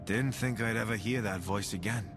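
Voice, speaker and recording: An actor playing a blunt, embittered veteran soldier companion in a video game, speaking in a colloquial, sardonic way. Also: male voice, on the low side, normal speed, over music, close to an everyday voice. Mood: bitter, frank.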